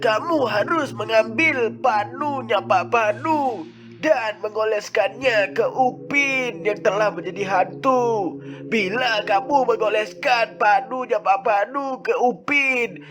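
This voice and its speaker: A man's voice: high-pitched, slow, over music. A man voicing a wise village elder who explains and tells a legend in a scripted cartoon. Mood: explaining. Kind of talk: scripted cartoon narration and dialogue.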